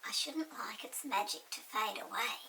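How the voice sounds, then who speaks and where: Woman voicing a high, creaky little pixie. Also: normal speed, in a whisper, heavily acted. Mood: resigned, fretting. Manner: emphatic, excitable. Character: small lively pixie friend, eager and chirpy. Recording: distant mic with hiss.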